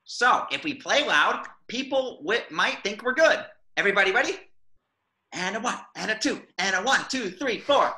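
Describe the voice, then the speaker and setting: Man as exasperated cartoon squid bandleader, whiny, melodramatic, forceful piercing tone, roomy recording. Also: high-pitched, lively intonation, normal speed, exaggerated voice. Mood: weary. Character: gloomy, exasperated male squid marching-band leader, easily defeated. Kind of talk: cartoon table read.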